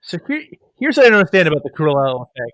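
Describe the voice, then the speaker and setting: A man's voice: fairly high pitch, sing-song, fast talker, a bit bright, no echo. A man chatting casually as a podcast guest, wry and joking. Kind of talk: casual chat.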